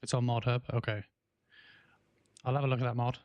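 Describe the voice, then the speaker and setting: A man in his thirties with an English accent tinged with Welsh, chatting casually on a game stream while coughing and clearing his throat now and then. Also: mid-range voice, flat delivery, fairly quick, darkish tone, no echo. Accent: English with a Welsh twang. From England. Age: 30s. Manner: rambling, many fillers and restarts. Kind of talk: casual livestream chat, reading chat aloud.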